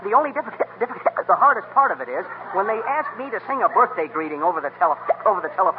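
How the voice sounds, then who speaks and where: A man's voice: high-pitched, lively intonation, very fast. A man playing an earnest telegraph messenger boy in a 1930s radio comedy, stammering and repeating phrases with a catch in his throat. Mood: earnest, struggling. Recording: noisy narrow-band 1939 radio recording.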